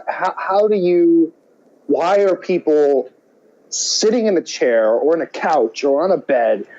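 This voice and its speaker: A man co-hosting a podcast in casual chat, halting and circling around his point as he talks. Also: a little high, darkish tone, highs cut off. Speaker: podcast co-host.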